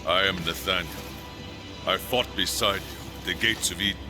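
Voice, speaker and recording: An actor playing a seasoned, steadfast angel warrior in a fantasy game, speaking formally and earnestly. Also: male voice, low-pitched, fairly even intonation, moderate pace, music in the background, close to an everyday voice. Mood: grave, steadfast. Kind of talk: dramatic game cutscene acting.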